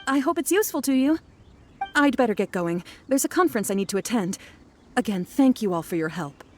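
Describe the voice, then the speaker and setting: A woman plays a courteous scholar in a video game, with a fairly high voice. She sounds flustered, hesitant and apologetic, with a little noise in the background. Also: animated, fairly quick, a little mellow, close to an everyday voice. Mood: polite.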